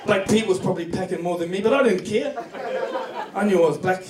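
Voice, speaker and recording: Male stand-up comic, New Zealand accent, high voice, profane storyteller, recorded from a distance in a club. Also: a little fast. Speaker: stand-up comedian. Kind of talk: stand-up comedy set. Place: comedy club stage before a live audience. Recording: distant echoey club recording, noisy laughing crowd.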